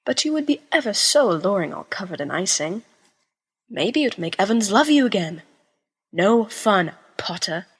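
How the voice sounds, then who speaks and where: A theatrical teenage schoolboy, acted out by a woman, demanding and teasing, with a husky voice that swoops around dramatically. The delivery is playful and melodramatic, like an amateur audio drama. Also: mid-range voice, sing-song, moderate pace. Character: theatrical, attention-seeking seventeen-year-old schoolboy, teasing and flamboyant.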